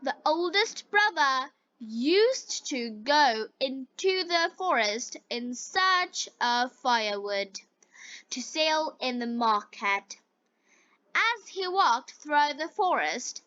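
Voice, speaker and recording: A woman with a bright, high voice delivers scripted narration for English learners. She goes slowly, in the manner of a teacher. The recording is dry and somewhat lo-fi. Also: dry recording. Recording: dull lo-fi recording.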